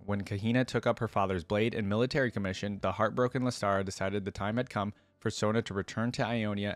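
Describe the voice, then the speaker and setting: A man's voice: lowish voice, dry recording. A young man hosting a reaction video, reading lore aloud and adding casual, chatty commentary into a close desktop microphone. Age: young adult.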